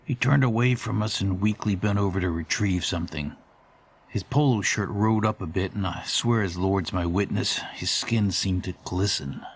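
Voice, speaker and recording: A man playing a formal, wordy middle-aged storyteller, giving a measured, ornate monologue in an audio horror drama. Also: low-pitched, a little hiss or hum. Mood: uneasy, brooding. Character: formal, verbose middle-aged man recalling haunting courier days.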